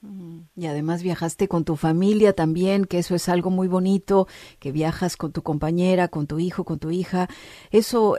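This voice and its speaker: A radio interviewer who asks long, measured questions. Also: medium pitch, mellow, no echo, studio quality.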